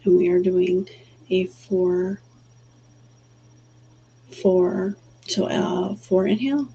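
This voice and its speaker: A woman hosting a livestream who talks softly and calmly, pausing often with fillers, before leading a guided breathing exercise. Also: on the low side, gravelly, breathy edge. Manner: soft, calm, halting with many fillers. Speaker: livestream host and energy healer. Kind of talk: casual livestream chat, then guided breathing. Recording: dull lo-fi stream audio with faint hum.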